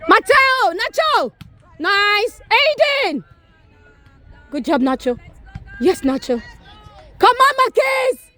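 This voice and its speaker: Woman, very high voice, bright and excited, cheering youth soccer outdoors, crowd behind. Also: slow pace, pauses often. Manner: shouting encouragement, calling players by name. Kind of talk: sideline cheering.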